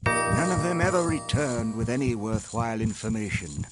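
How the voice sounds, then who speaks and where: A man's voice: medium pitch, animated, moderate pace, loud music behind the voice, close to an everyday voice. A man playing a wealthy older industrialist in a video game, proud yet haunted, speaking formally and articulately with a wistful storyteller's manner. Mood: grave, reminiscing. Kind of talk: scripted video game dialogue.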